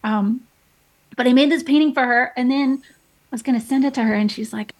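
A woman's voice: high-pitched, a little fast, poor audio. A woman in her thirties, a painter being interviewed, telling stories in a chatty way with plenty of fillers and restarts. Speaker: painter guest. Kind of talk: casual interview chat.

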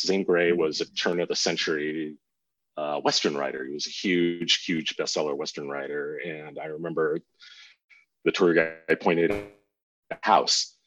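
Dull roomy recording of a deep husky american guy, middle-aged, chatting casually in an interview, kinda rambling. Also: fairly bright tone. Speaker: guest author. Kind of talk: reflective interview storytelling.

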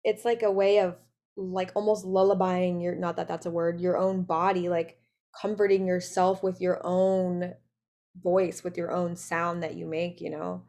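Chatty woman podcast host, lowish voice. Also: flat delivery, unhurried, gravelly. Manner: chatty, warm, loose "like" fillers. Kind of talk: casual interview chat.

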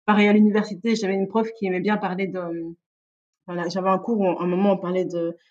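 A woman, telling personal stories casually and candidly as an interview guest, often halting to rephrase. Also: medium pitch, flat delivery, darkish tone, highs cut off. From Belgium. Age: 30s. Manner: candid, informal, halting with self-corrections.

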